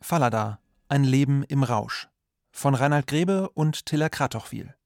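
A man with a creaky voice announcing scripted credits for a radio play. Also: medium pitch, measured pace, a little mellow, dry recording.

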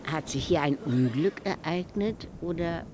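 An actor playing a dour, needling village grumbler in a radio drama, dry and sardonic. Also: male voice, a little high, unhurried, close to an everyday voice. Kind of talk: German radio drama acting. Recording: very noisy windy outdoors, distant.

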